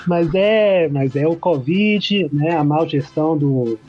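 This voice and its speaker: Dull-sounding Brazilian guest, easygoing. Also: male voice, on the high side, lively intonation, takes it slowly, soft background music. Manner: easygoing, laughs often, many "né" tags. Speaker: guest sociologist. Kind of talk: casual podcast chat.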